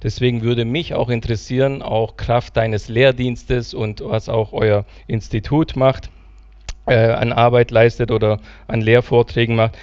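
A man's voice: mid-range voice, flat delivery, a little slow, darkish tone, smooth, no room sound, dull audio. Polite middle-aged man interviewing. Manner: formal, polite questioning. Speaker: interviewer, church host. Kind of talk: church stage interview. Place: church hall.

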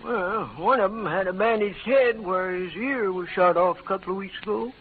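A man's voice: high-pitched, normal speed. An actor playing a gossipy, folksy American frontier townsman in an old radio western. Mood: urgent, confiding. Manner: folksy, rustic tale-telling. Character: Chuck, gossipy frontier townsman passing on news. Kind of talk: 1940s-50s western radio acting. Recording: faintly noisy, narrow-band old radio recording.

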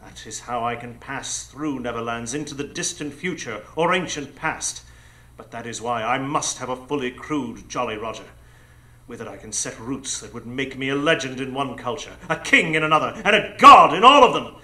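Casting: grandiose male pirate mastermind, sharp bright voice, mocking and theatrical, live radio drama. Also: mid-range voice, animated, normal speed. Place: improv theatre stage before a live audience.

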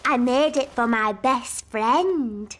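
High-pitched, bossy little girl princess. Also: slow, fairly bright tone, a little hiss or hum. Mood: eager. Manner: childlike, emphatic, blunt. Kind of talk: animated children's cartoon acting.

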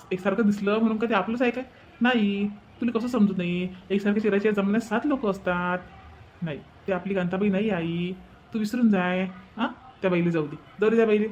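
Guy doing a village son in a cartoon drama, highish voice, placating but a bit fed up, vidarbha marathi accent, muffled audio. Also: fairly even intonation, fairly quick, a little mellow, a little hiss or hum, lightly acted. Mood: insistent. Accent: rural Vidarbha Marathi. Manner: placating, insistent. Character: reasonable village son soothing his mother, mildly exasperated. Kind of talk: cartoon family drama dialogue. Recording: dull lo-fi sound.

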